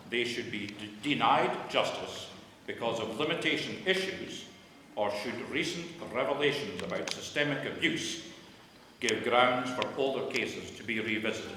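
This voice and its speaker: Guy asking the panel a question, kinda formal, bright voice, big echoey hall. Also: mid-range voice. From northeast Scotland. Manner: formal, reads a prepared question with small hesitations. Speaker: audience member asking a question. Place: large public hall. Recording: echoey hall from far off, background noise.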